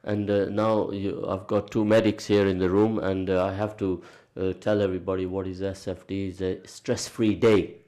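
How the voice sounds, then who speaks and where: A man's voice: low voice, flat delivery, moderate pace, hardly any pauses, warm tone. A man hosting a radio interview, chatty and emphatic, often exclaiming in disbelief. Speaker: radio presenter.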